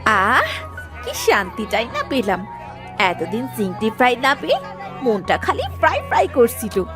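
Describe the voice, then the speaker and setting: Woman voicing a whiny, high-pitched young village wife. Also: huge pitch swings, measured pace, music in the background. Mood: bossy, greedy. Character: young Bengali village wife, greedy, demanding, fond of food. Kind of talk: cartoon story voice acting.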